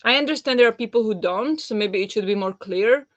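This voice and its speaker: A woman's voice: a little high, a little fast, dry recording. Woman chatting on a book stream. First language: Slovak. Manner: chatty, warm replies to viewers with frequent fillers and laughs. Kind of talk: live-stream chat reading out comments.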